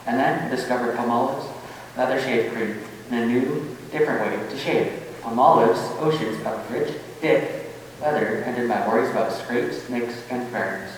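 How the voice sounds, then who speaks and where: A man's voice: medium pitch, moderate pace, close to an everyday voice. A man playing a satisfied customer in a scripted radio advertisement testimonial. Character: satisfied male customer giving a shaving testimonial. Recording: echoey hall from far off, faint hum.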